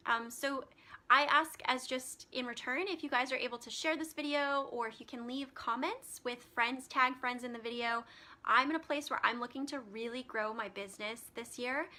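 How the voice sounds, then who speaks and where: A woman's voice: on the high side, fairly even intonation, normal speed, sharp tone. A woman hosting a live stream as a spiritual healer, chatting casually with many ums and reading out card meanings, her voice turning scratchy. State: voice getting scratchy.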